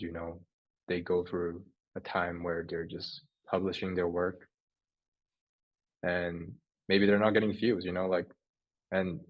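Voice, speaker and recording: A man's voice: deep voice, dull audio. A young man from Hong Kong, a native Cantonese speaker, chatting informally as an interview guest. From Hong Kong, moved to England at fourteen. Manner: informal, says "like" and "you know" often, some swearing. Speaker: podcast guest, writer and photographer. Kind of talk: casual interview chat.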